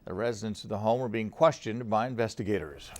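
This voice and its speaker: A man's voice: lowish voice, fast, darkish tone. A man anchoring a television newscast, reading scripted stories in a formal news delivery.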